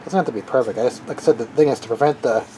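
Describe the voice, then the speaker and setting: A man's voice: medium pitch, dark tone. Man thinking aloud over noisy workbench clatter. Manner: halting, thinking aloud while working with hands. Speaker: hobbyist radio builder demonstrating a build. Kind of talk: casual unscripted how-to narration.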